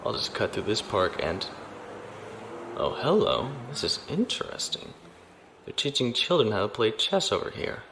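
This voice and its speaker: A man's voice: fairly low pitch, huge pitch swings, normal speed, vocal fry, pushed and bright, slight background noise, exaggerated voice. An actor playing a stiff, formal young male naval officer who speaks properly and reservedly.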